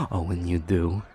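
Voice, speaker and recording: A man performs a fiercely defiant hothead in an audio drama. The voice is low and loud, full of scorn and sarcasm. Outdoor noise runs behind it.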